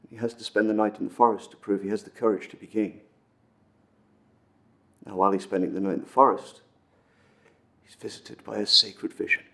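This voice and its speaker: A man acting a dramatic monologue as an earnest, wistful storyteller recounting an old legend, with intense pauses and swells. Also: on the low side, flat delivery, vocal fry, fairly bright tone. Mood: earnest, wondering. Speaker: amateur actor performing a film monologue.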